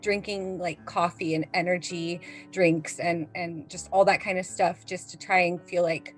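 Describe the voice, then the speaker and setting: A woman in her thirties chatting casually with friends in an animated, exclaiming way. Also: on the low side, a little slow. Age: 30s. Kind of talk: casual group chat. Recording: music behind the talk.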